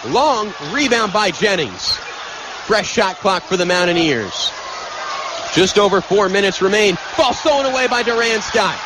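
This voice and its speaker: A man doing live radio basketball play-by-play as the announcer, in excitable, punchy, clipped phrases. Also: sits high, unhurried. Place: courtside in an indoor arena. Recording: loud arena noise behind. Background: loud arena crowd noise.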